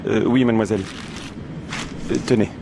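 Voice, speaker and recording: A man's voice: on the low side, steady tone, normal speed, background noise, close to an everyday voice. A man acting as a courteous everyday character in a scripted language-lesson dialogue. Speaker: dialogue actor.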